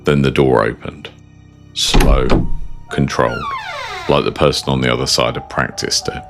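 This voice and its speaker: A British man reading scripted first-person horror narration in a measured, understated and ominous manner. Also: extremely low pitch, steady tone, gravelly, over loud music. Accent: British English.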